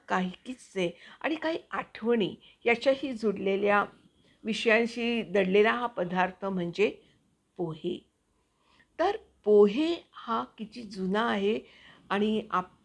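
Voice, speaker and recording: A woman hosting her own channel, chatting to viewers in a casual, explanatory way with hesitant pauses as she finds her words. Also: medium pitch. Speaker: actor and video channel host.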